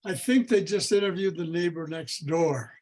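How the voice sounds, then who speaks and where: A man's voice: high voice, normal speed, a little mellow. An older American man casually recalling personal history on a video call. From American Midwest. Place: online video meeting.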